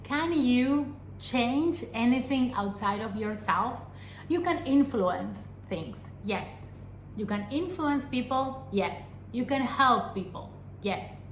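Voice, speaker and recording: A young adult woman from Argentina, a vlogger giving an earnest personal talk to camera, pausing often and asking rhetorical questions. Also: a little high, lively intonation, a little hiss or hum. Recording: echoey room with faint ambience.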